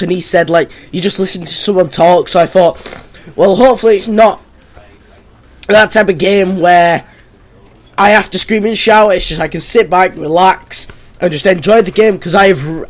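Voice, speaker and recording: A young man, hoarse from losing his voice, chatting casually as he plays a game at home. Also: high voice. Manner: rambling, chatty, full of fillers. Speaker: gaming YouTuber. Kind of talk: casual gameplay commentary.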